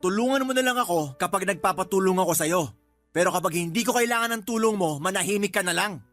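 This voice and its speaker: A man's voice: high voice, fast talker, a bit bright, no room sound, faint music behind. A man acting in a Tagalog radio drama as a freeloading older brother and father who argues defensively. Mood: angry, resentful. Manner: wheedling, touchy, argumentative.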